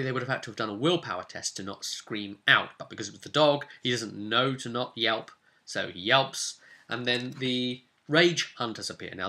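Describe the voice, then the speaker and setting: A man speaking casually as a game master, recounting a tabletop campaign in an animated, explanatory way straight to the listener. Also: mid-range voice. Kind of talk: casual storytelling to camera.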